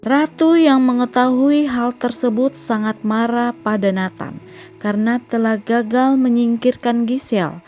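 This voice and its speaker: Lady doing scripted recap narration, kinda high voice, takes it slow, faint music under it. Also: darkish tone, lo-fi sound. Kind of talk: scripted narration of a film plot. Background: background music.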